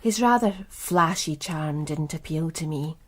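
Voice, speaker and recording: A woman's voice: sits low, lively intonation, measured pace. An actress playing a cool, calculating and seductive businesswoman in a radio crime drama, poised, guarded and knowing. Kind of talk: naturalistic radio drama dialogue.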